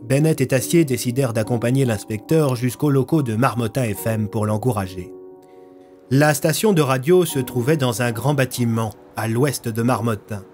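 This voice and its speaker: A man's voice: medium pitch, normal speed, a little mellow, soft background music. A man reading scripted story narration as a storyteller.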